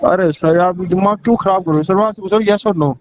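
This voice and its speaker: Through a dull, lo-fi phone recording comes a man with a high voice. He talks like a loan recovery agent, pushy and impatient, repeating his demand for a straight answer. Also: brisk. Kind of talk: pressuring phone conversation. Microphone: phone call.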